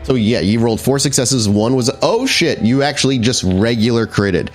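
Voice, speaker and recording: A man's voice: medium pitch, moderate pace. A man running a tabletop horror game, narrating descriptively and searching for words as he improvises. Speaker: game master. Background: background music.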